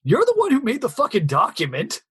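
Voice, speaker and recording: A man's voice: very high voice, wildly swooping pitch, a little fast, fairly bright tone, breathy edge. A man, joking crudely and rambling through his notes. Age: 30s. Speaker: podcast co-host. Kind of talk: casual banter podcast.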